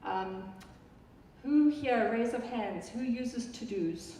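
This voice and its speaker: Woman, South African accent, conference product presenter, chatty with ums, mellow tone, distant echoey hall recording. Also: on the high side, slow, a little hiss or hum. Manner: chatty, many ums and restarts, addresses the audience directly. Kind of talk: live conference product talk.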